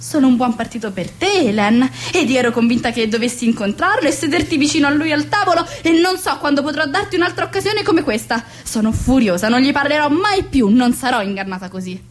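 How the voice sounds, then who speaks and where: Woman acting a high-voiced, bossy society mother. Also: animated, fast, few pauses. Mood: outraged. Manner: haughty, melodramatic, scolding. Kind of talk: theatrical radio comedy acting.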